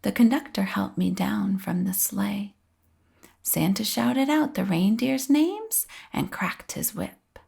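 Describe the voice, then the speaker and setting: A woman reading a children's story aloud with expressive, drawn-out phrasing and a breathy edge at the ends of phrases. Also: mid-range voice. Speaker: storybook reader.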